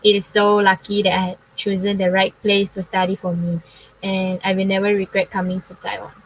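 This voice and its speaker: Young woman, from Malaysia, native Chinese speaker, polite measured reading, monotone, online forum panelist. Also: mid-range voice, a little hiss or hum, low-quality recording. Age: young adult. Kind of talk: reading a prepared self-introduction.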